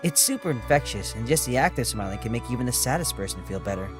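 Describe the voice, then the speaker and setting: A man playing a gentle, cheerful, patient young savior in an audio drama, with a kindly, reassuring manner. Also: medium pitch, expressive, fast talker, natural voice. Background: music.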